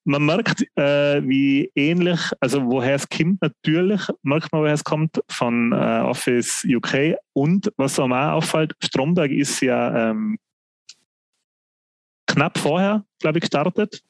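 A man's voice: a little high, no room sound. A man, co-hosting a podcast, talking hesitantly with many fillers in casual chat. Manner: hesitant, many "ähm" fillers and restarts, slow when musing. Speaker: podcast co-host.

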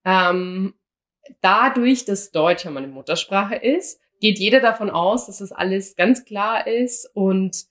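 A young woman chats casually as a podcast co-host. She talks in a lively, anecdotal way, often slipping into quoted back-and-forth. Her voice sits at an ordinary middle pitch for a woman. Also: slow pace. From Austria. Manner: chatty, anecdotal, acts out quoted dialogue.

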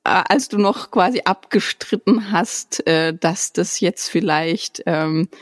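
A woman hosts a podcast in a relaxed, friendly way. She talks slowly and often stops on small fillers while she finds her words. Her voice sits close and dry, with no room around it. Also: lowish voice, no room sound. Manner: friendly, hesitant with frequent fillers. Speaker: podcast host. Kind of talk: casual interview.

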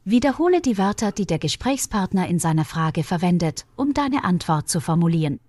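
A woman presenting a language lesson, asking practice questions and giving model answers in a measured, instructive way. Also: fairly low pitch, sing-song, darkish tone, no room sound. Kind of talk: clear scripted lesson delivery.

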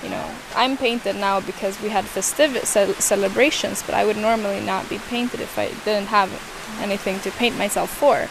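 A woman's voice: mid-range voice, steady tone, moderate pace, creaky, few pauses, noisy background. A young woman with another first language than English, answering interview questions thoughtfully and searching for her words. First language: not English. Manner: hesitant, searching for words, many restarts.

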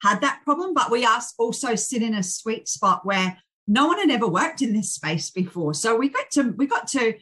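An Australian woman speaks with bright, forceful energy and little polish. She is blunt and plain-spoken as she tells her story in an interview. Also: fairly high pitch, big pitch swings, moderate pace, husky. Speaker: charity founder, guest. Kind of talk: candid interview chat.

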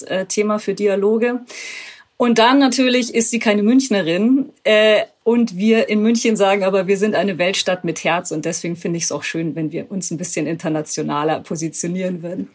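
Eine Frau aus München, Musikerin, die als Gast nachdenklich im Podcast erzählt. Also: female voice, mid-range voice. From Munich, Germany. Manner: thoughtful, searching for words. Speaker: guest, musician and curator. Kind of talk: casual podcast interview.

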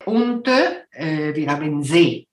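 An older woman from Ticino talks in German with a clear Italian-Swiss accent, often pausing to search for words. Her voice sits low and she speaks slowly. The recording has a roomy echo. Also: sing-song. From Ticino, Switzerland. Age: 60s. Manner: hesitant, searching for words, frequent ums. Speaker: guest. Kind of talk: casual interview chat.

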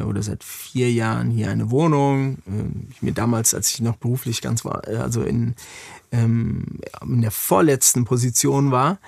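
A man's voice: medium pitch, darkish tone, no room sound. A man from Frankfurt, a podcast guest, talking reflectively with many hesitations and fillers. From Frankfurt, Germany. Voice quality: gravelly, husky. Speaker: interview guest. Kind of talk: conversational podcast interview.

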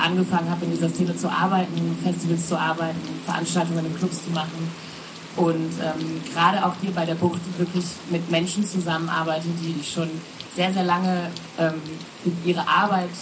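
A woman's voice: on the low side, little pitch movement, moderate pace, background noise. A woman from a festival booking team, talking chattily on a live panel with many fillers and tag questions.

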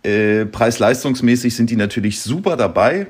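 A man's voice: mid-range voice, animated, moderate pace, slightly rough. A man who owns a small shop, explaining his products in casual chat while walking through the shop, with lots of fillers and tag questions. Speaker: shop owner giving a tour.

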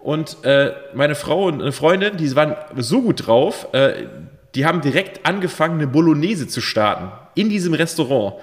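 A man in his thirties co-hosting a podcast, telling a rambling story full of fillers, his voice a bit hoarse after a night of drinking. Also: a little high, animated, moderate pace, long reverb tail. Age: 30s. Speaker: podcast co-host. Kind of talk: casual chat.

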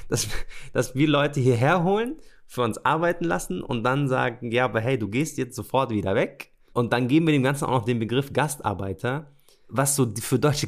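Relaxed conversational podcast co-host. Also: male voice, mid-range voice. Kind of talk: podcast presenting and scripted narration.

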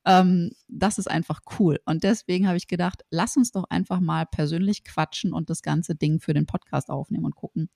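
A woman's voice: on the low side, steady tone, normal speed, gravelly, hardly any pauses, mellow, no room sound, studio quality. A woman from Berlin hosting a podcast interview, chatty and upbeat, full of fillers and restarts. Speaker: podcast host. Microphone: remote call, host side.

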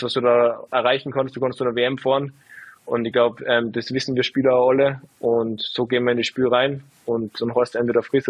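A man in his twenties, a footballer, answering interview questions in a relaxed, halting way full of fillers. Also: mid-range voice, husky, no echo, dull audio. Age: 20s. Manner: hesitant, lots of fillers, relaxed. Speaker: footballer guest.

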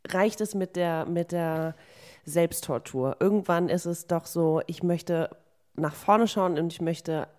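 A woman co-host gives her friend blunt, down-to-earth advice in a casual tone. Her voice sits somewhat low for a woman, and she talks straight to the point. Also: slow pace, a little mellow. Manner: frank, advising, blunt phrasing. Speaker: podcast co-host. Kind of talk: casual supportive conversation.

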